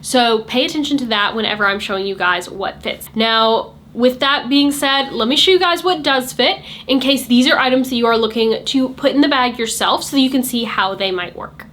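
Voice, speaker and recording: A chatty, sassy woman walks through a handbag review in a casual, talkative way. Her voice sits a bit high and carries a light hoarseness. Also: normal speed, few pauses, fairly bright tone. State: a little hoarse. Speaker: luxury handbag reviewer, channel host.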